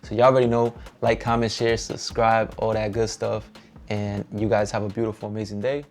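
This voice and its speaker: A young man with a Dominican accent giving a relaxed, upbeat tour of his home music studio, encouraging and slangy. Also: lowish voice, brisk. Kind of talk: casual vlog walkthrough.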